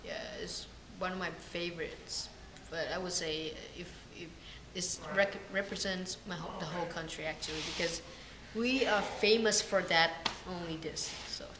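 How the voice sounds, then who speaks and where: A woman's voice: on the low side, moderate pace, noisy background. A woman from Vietnam with a Vietnamese accent and Vietnamese as her first language, answering hesitantly and searching for words. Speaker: interviewee. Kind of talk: casual interview answers. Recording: echoey room with crowd chatter.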